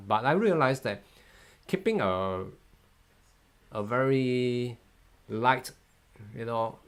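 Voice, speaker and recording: A man in his fifties, a native Mandarin speaker, running a live trading lesson in a chatty, upbeat way, often laughing and thanking viewers. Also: fairly low pitch. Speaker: forex trading educator. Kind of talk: live-stream chart analysis.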